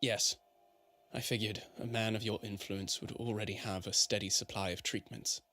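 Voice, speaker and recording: An actor playing a gracious, ailing prince in a radio drama, with creaky, weary, refined speech and a stoical, kindly temper. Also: male voice, fairly low pitch, flat delivery, normal speed, pushed and bright.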